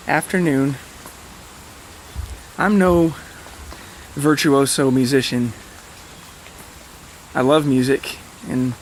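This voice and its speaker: Slightly high-voiced man, casual podcast host. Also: slight background noise, low-quality recording. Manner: informal, hesitant, self-deprecating. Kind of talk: casual, rambling monologue to listeners.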